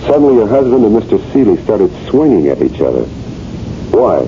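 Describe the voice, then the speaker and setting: A man playing an earnest, dogged police lieutenant in a 1970s radio drama, with a halting but insistent manner. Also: fairly low pitch, big pitch swings. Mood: businesslike, probing. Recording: muffled, hissy old radio broadcast recording.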